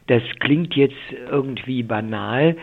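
An older man explaining things as an expert in a radio interview over the telephone, with halting delivery and many filled pauses. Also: medium pitch, gravelly. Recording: muffled, thin telephone line.

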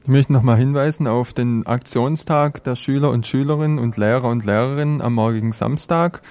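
A man presents a live radio news programme. His voice sits at an average pitch, and he announces items in an informal way as the host. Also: fairly quick, darkish tone.

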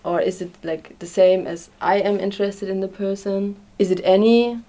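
A woman's voice: medium pitch, fairly even intonation, moderate pace, warm tone. A woman giving unscripted interview answers as an activist, hesitantly searching for her words. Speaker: refugee-support activist being interviewed.

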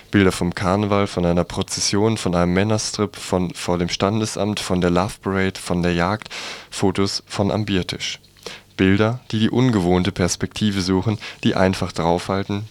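Man, radio presenter, low voice, dry close sound, scripted review narration. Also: dry recording.

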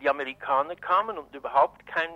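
A man giving spontaneous interview commentary, halting and searching for words, on a dull lo-fi recording. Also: a little high, no echo. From Switzerland. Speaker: interviewee, journalist.

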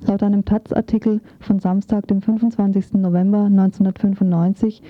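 A woman's voice: medium pitch, level tone, a little fast, no room sound. A woman reading a scripted radio feature as a presenter, on a muffled, narrow recording.

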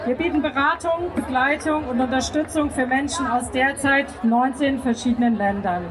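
A woman reading out a prepared public speech in a measured, formal manner at a noisy outdoor event. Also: high-pitched, a crowd in the background. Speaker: speaker for a queer refugee support group.

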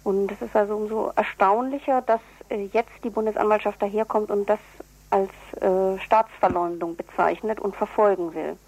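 A woman's voice: medium pitch, steady tone, normal speed, a little mellow, no echo, low-quality recording. Woman getting interviewed on the radio, explains stuff carefully, pretty earnest. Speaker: interviewee, activist for prisoners' relatives newsletter.

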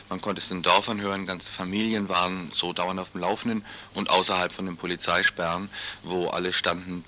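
A man's voice: low-pitched, pushed and bright, slight background noise. A man presenting on a community radio show, explaining a background story in a loose, conversational way with occasional fillers.

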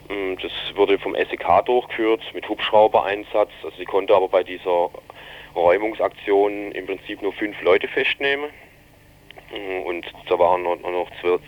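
A man gives an unscripted eyewitness account in an interview. His voice sits low, and the recording is somewhat dull and narrow. He rambles haltingly, breaking off and rewording as he explains. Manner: halting, rambling, keeps reformulating sentences. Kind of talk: spontaneous informal interview account. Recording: dull, band-limited radio interview recording.

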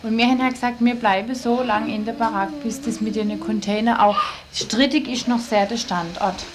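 A woman's voice: fairly high pitch, fairly even intonation, moderate pace. A woman answering questions casually in an on-site interview. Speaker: interviewee, protesting childcare worker. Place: on site beside a building site. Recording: noisy on-site field interview.

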